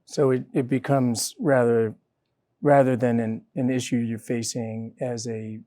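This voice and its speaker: A man on a panel reflects and summarizes a point. His voice is mellow and dark, recorded dry and close with little room sound. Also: mid-range voice, little pitch movement, a little slow, dry recording. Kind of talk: roundtable discussion.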